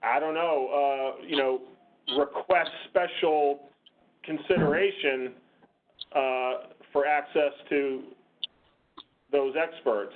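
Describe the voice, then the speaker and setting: A man moderating an unscripted meeting discussion on a conference call, speaking in a halting, hesitant way. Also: fairly high pitch, fairly even intonation. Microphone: conference call line. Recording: muffled, phone-like conference call.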